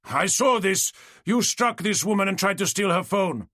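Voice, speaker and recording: A man's voice: high-pitched, expressive, moderate pace, pushed and bright, no room sound, clean studio sound, voice pushed up, exaggerated voice. A man voicing a burly hotel chef who is stern with an intruder and fawning toward a guest. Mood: stern, pompous. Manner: stern, pompous, obsequious to guests.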